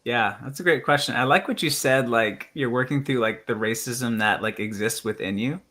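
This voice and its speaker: Earnest man with a bright voice. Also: mid-range voice, normal speed. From United States. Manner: earnest, thoughtful, many ums and you-knows. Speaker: musician and show host. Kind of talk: reflective conversational interview.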